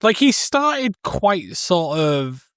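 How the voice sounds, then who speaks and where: A man's voice: high-pitched, big pitch swings, very slow, fairly bright tone, clear, resonant tone, dry recording, studio quality. A man co-hosting a podcast, giving his take in casual film discussion. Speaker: podcast co-host.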